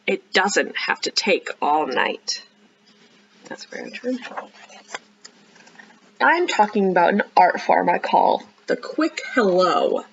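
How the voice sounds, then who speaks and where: A woman's voice: mid-range voice, takes it slowly, vocal fry, pauses often, slightly rough. Woman reading to kids, slightly muffled sound. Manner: animated, encouraging, asks listeners questions. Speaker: children's librarian hosting storytime. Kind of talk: expressive picture-book read-aloud with chatty asides to young children.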